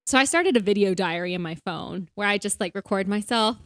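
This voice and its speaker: A woman's voice: mid-range voice, expressive, a little fast, dry recording, clean studio sound. A young woman co-hosting a podcast, chatting in a bubbly, casual way with lots of fillers. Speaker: podcast co-host. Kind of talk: casual chat.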